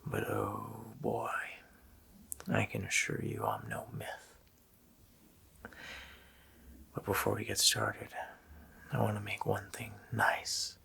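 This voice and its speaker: A man voicing a sinister, taunting Christmas demon in a slow, hoarse, menacing whisper for an ASMR roleplay. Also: lowish voice, sing-song, pushed and bright, heavily acted. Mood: boastful menace. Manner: slow, menacing, drawn-out phrasing.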